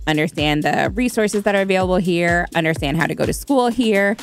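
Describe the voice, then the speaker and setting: A woman from Malawi, a nonprofit founder, giving conversational answers as a radio guest. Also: lowish voice. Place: radio studio.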